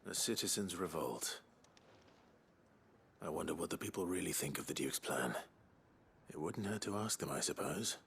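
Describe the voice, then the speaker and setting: An actor playing a grave, courteous adventurer in a fantasy game, measured and understated in manner. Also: male voice, low voice, lively intonation, creaky, fairly bright tone, a little hiss or hum, exaggerated voice. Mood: calm inquiry. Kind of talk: scripted fantasy game acting.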